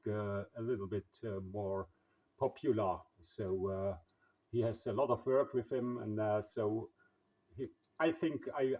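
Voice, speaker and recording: A man with a husky voice, chatting casually over radio in halting, English. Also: fairly low pitch, warm tone. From Germany. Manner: halting, non-native English phrasing. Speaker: amateur radio operator and video maker. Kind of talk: casual radio contact chat. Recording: slightly dull, lo-fi sound.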